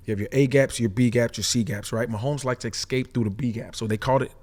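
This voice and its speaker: Brief: male ex-player analyst, mid pitch, rapid explainer, keeps asking "right?". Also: steady tone, darkish tone. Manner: fast, explanatory, frequent "right?" tags. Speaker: former pro safety, co-host analyst. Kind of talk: casual sports chat.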